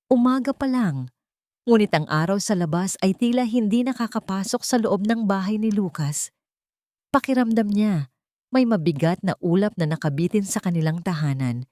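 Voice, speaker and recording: A woman narrates a scripted drama in a fairly low voice. She tells it as a storyteller, with a dry, close sound free of room reflections. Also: darkish tone, no echo.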